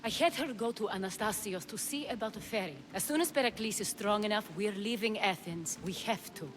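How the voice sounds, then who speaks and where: A woman's voice: mid-range voice, fairly quick, natural voice. Actress as a worried noblewoman, noisy backdrop. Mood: anxious. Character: elegant, worried, commanding Athenian noblewoman. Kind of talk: video game cutscene acting.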